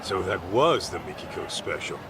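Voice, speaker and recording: A man's voice: sing-song, normal speed, background noise. An actor playing an easygoing, tough male private detective in a game dub, talking in a casual, cocky, protective way. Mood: gently instructive. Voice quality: low, sturdy voice. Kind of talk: English dub game cutscene acting.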